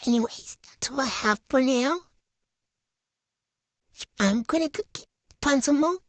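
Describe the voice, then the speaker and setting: A woman's voice: high voice, lively intonation, a little slow, no room sound. A woman giving a casual, chatty show-and-tell voice-over in a hesitant, unscripted way that tends to trail off. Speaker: vlogger.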